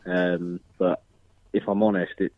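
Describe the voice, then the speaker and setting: A young man in his twenties, a footballer, answering interview questions in a measured, modest way over the phone, with many ums and restarts. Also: low-pitched, flat delivery, dry recording. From Northern Ireland. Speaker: football striker, interview guest. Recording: tinny phone line.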